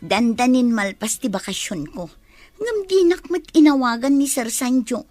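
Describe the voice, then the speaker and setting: An actress playing a sulky, jealous, complaining grown daughter in an Ilocano-accented radio drama. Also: female voice, on the high side, animated, normal speed, darkish tone, soft background music, natural voice. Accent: Filipino, Ilocano.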